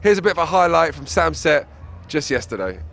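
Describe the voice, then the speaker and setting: A man's voice: a little high, big pitch swings, brisk, gravelly, heavy background noise. A middle-aged man hosting a festival music show, chatting casually and reading out messages from viewers. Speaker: show host.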